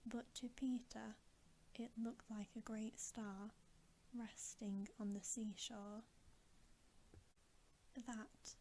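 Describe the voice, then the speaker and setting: Asmr lady whispering a bedtime story, real slow, soft n breathy, pretty even pitch. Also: a little hiss or hum, rough recording. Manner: gentle, unhurried, soothing. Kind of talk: ASMR bedtime story reading, scripted narration.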